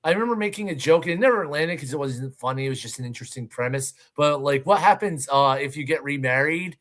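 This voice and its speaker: A man co-hosting a movie countdown show, chatting casually with a hesitant, halting delivery. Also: a little high, expressive, a bit bright. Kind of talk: casual film chat.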